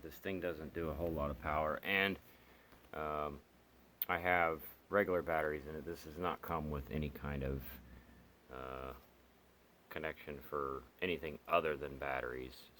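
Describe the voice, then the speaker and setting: A man reviewing gear as a hobbyist in a casual, unscripted, halting and rambling way, with pauses as he explains. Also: very deep voice, fairly bright tone, slight background noise.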